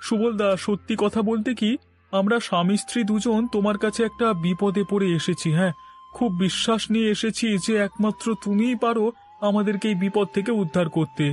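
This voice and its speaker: A man's voice: high-pitched, fairly even intonation, a little fast, soft background music, close to an everyday voice. A man voicing a humble married neighbour in a moral-story cartoon, with a deferential, pleading manner. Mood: pleading, aggrieved.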